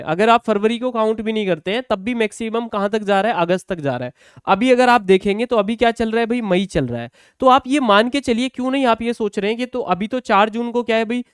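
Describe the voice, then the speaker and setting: A man's voice: high voice, smooth, dry recording, studio quality. A man explaining exam news to students as a coaching teacher, conversational and often checking in with short tag questions.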